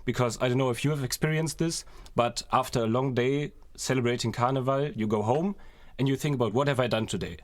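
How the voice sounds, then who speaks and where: A young man with a mid-pitched voice talks through ideas as a podcast co-host. He is reflective and explanatory, recorded close and very dry. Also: fairly quick, no room sound. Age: young adult. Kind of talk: conversational podcast discussion. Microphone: close podcast microphone.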